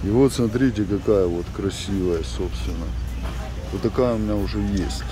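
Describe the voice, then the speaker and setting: A man's voice: lowish voice, moderate pace. A middle-aged man chatting casually to viewers while filming in a large warehouse store. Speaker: vlogger. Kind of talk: casual vlog chat while shopping. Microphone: handheld camera.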